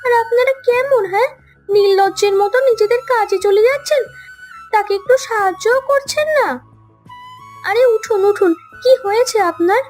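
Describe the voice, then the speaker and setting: A woman playing a kind, outspoken young city woman in a cartoon, caring and brisk. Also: very high voice, a little mellow, soft background music. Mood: alarmed, indignant. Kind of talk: Bengali cartoon story acting.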